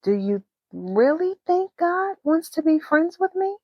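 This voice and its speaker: A woman's voice: very high voice, very animated, measured pace, no room sound, highs cut off, voice pushed up, full character voice. A woman voicing an innocent, eager young girl in a children's storybook, earnest and wide-eyed. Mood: curious, doubtful. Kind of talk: children's storybook read-aloud.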